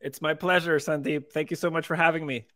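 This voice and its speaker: Bright, lively man on a video call. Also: a little high, brisk. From Venezuela. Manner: animated, gestures while talking, frequent restarts. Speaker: crypto company co-founder, guest. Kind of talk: casual interview storytelling. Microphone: earbuds on video call.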